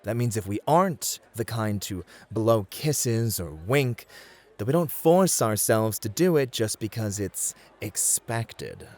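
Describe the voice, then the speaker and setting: A man's voice: fairly low pitch, expressive, slow, a little mellow. A man playing a suave, polite club host in an ASMR roleplay, flirtatious and courtly as he teases and mentors. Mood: smooth, encouraging.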